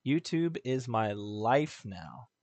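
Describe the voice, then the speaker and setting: A man's voice: mid-range voice, sing-song, takes it slowly, darkish tone, dry recording. Casual, slangy male game streamer. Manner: slangy, jokey, rambling. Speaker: live streamer.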